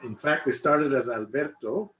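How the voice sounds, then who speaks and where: An older man from Cuba answers casual interview questions about his life, often pausing to search for his words. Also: medium pitch, a bit bright. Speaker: interviewee, congregation member. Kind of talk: casual personal interview, recollecting. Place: indoor room, online video call. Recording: echoey room, faint background noise.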